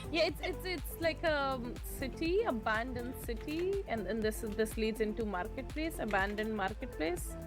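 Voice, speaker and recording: A woman's voice: fairly high pitch, expressive, normal speed, few pauses. A woman chatting casually while gaming, hesitant and restarting her sentences. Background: music.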